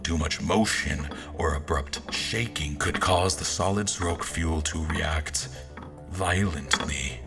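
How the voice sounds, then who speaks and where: A man playing a formal royal officer who narrates an audio drama in the first person, in a measured manner. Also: very deep voice, gravelly, over music, exaggerated voice. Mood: reflective, measured.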